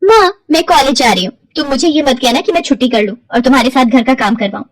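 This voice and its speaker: A woman's voice: high voice, animated, fast, dry recording. A woman voicing a self-centred, outspoken young college student in a cartoon family story. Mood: dismissive.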